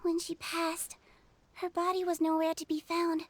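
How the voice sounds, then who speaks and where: A woman voicing a gentle young nonhuman nurse girl in a video game, with a sweet, childlike, matter-of-fact manner. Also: very high-pitched, monotone, normal speed, no echo. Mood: wistful, accepting. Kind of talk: video game dialogue acting.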